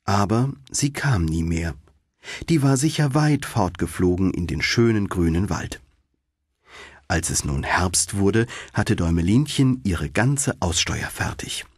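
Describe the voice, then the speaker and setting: Husky, expressive male storyteller. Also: sits low, unhurried, no echo, clean studio sound. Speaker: audiobook narrator. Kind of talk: scripted fairy-tale narration.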